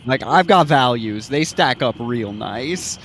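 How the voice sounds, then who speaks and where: Male tabletop player, jokey and casual, very varied pitch, slightly noisy recording. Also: expressive, slow pace, a bit bright. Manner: joking, punning. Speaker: player in a tabletop roleplaying game. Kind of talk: casual game table banter.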